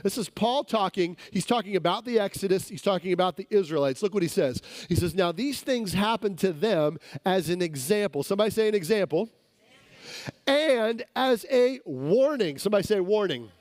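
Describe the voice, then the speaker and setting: A man's voice: high-pitched, expressive, moderate pace, a little mellow, no echo. A man preaching a sermon to his congregation, emphatic and repeating words for stress, his voice strained from recent sickness. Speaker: pastor.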